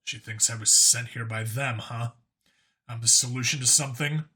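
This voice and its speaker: A blunt human cop acted by a male reader with a middling pitch and a bright edge. He talks casually and keeps it low-key, with a wry turn, as a character in an audiobook. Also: steady tone, moderate pace, natural voice.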